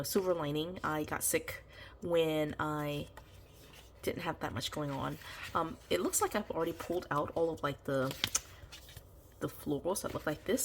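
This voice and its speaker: A woman's voice: sits low, slight background noise. A woman vlogger chatting casually through her planner decorating, nasal from congestion and sick, her voice starting to give out. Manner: chatty, meandering, full of ums and you-knows. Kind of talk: casual plan-with-me chat.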